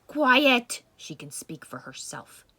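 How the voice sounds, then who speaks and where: A woman voicing a menacing male Nazi officer in a children's puppet show, husky, curt and accusing. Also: on the high side, very animated, takes it slowly, sharp tone, pitched above the performer's own voice, exaggerated voice. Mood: angry, accusing. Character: menacing, interrogating Nazi officer, adult man.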